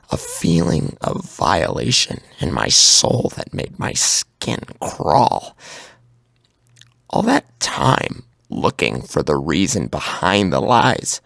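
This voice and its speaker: A man acting an anguished, guilt-ridden victim narrating his own horror story in a gravelly, husky, halting voice. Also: rumbling low, a bit bright, no room sound, clean studio sound, full character voice. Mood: anguished, seething.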